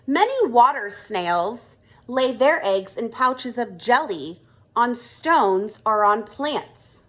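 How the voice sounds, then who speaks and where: High-pitched, expressive woman reading a storybook. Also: slow pace. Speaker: kindergarten teacher reading aloud. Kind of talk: children's storybook narration.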